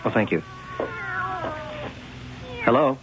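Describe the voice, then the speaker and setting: An actor playing a direct, matter-of-fact police lieutenant in a 1980 radio drama. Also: male voice, medium pitch, lively intonation, slow pace, music in the background. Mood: politely probing. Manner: businesslike, direct. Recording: dull noisy old radio broadcast.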